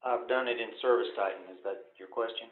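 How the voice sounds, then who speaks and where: A man's voice: fairly low pitch, steady tone, fast talker. A plain-spoken man talking casually and matter-of-factly as a participant in a work call. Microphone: conference call line. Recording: echoey room over tinny phone line.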